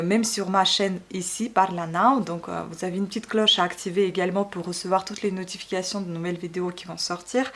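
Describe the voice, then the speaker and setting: A woman whose first language is not French, hosting her channel and casually presenting her storybook collection, with frequent fillers and self-corrections. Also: medium pitch. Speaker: channel host.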